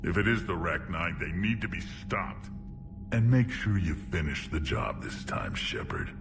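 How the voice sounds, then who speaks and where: Gravelly, very deep alien warlord. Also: male voice, sing-song, music in the background, exaggerated voice. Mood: stern warning. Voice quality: gravelly, rumbling. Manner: blunt, domineering, deliberate. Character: huge gruff alien warlord clan leader, proud and menacing. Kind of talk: video game cutscene acting.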